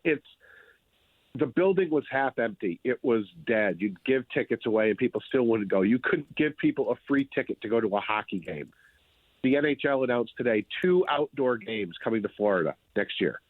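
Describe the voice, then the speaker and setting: A man's voice: mid-range voice, no echo. A man speaking as a sports writer guest, giving conversational sports commentary in an emphatic way, repeating phrases for effect. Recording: thin phone-like line.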